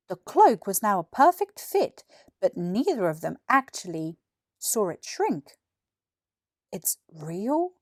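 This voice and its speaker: A British woman in her twenties reading a children's story in an expressive, clearly articulated narration. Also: medium pitch, no room sound, clean studio sound. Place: small furnished room indoors. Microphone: lapel mic.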